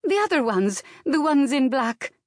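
Woman acting a frantic high-voiced Bulgarian maid. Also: sing-song, no echo, studio quality. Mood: urgent, pleading. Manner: rushed, urgent, broken English. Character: frantic Bulgarian maid, excitable, urgent, protective. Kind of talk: audiobook character dialogue.

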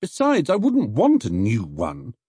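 A man's voice: mid-range voice, huge pitch swings, measured pace, mellow, husky, no room sound, clean studio sound, voice pushed up, exaggerated voice. A man voicing a polite, earnest young male bear with a plaintive manner in an audiobook reading. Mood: sad. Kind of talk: audiobook character voice.

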